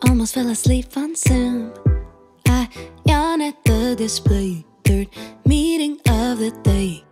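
A woman's voice: on the high side, slow. A woman singing a light, airy pop song. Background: loud backing music.

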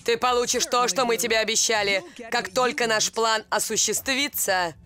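A woman playing a stern, demanding winged villainess in an animated series dub. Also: a little high, moderate pace. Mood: stern, commanding. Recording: clear background music.

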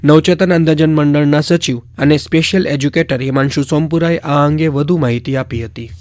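A man's voice: fairly high pitch, fairly even intonation, a little fast, darkish tone, dry recording, studio quality. A man with a Gujarati accent reading scripted news as a presenter.

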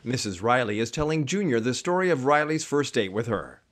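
A man's voice: medium pitch, expressive, fairly quick. A man announcing in the style of a 1950s radio show and its sponsor ads.

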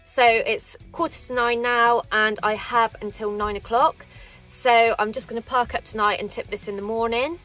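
A woman's voice: on the high side, fairly even intonation, normal speed, fairly bright tone, faint music behind, low-quality recording. A woman in her thirties, a truck driver, chatting casually to a close camera from her parked cab and thinking aloud. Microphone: camera close in front, handheld or dash-mounted.

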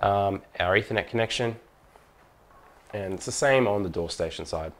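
A man's voice: on the low side, normal speed. A man presenting a hands-on product tutorial in an informal, methodical, step-by-step way.

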